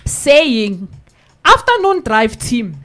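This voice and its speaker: Lively high-voiced South African woman host. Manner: animated, laughing, drawn-out emphatic repeats. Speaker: radio host reading listener comments. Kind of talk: lively radio banter. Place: radio studio.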